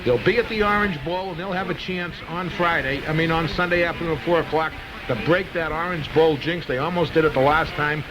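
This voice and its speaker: A man's voice: a little high, brisk, hardly any pauses. A man hosting a casual sports call-in radio show, opinionated and emphatic, often repeating himself. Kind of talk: live sports talk radio, casual chat with callers. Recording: noisy vintage radio broadcast recording.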